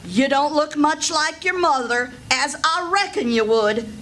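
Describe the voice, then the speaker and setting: Shrill, excitable aunt. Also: female voice, measured pace, slight background noise. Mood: overjoyed. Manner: exclamatory, gushing. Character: excitable, doting middle-aged country aunt. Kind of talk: old-time radio theatre acting.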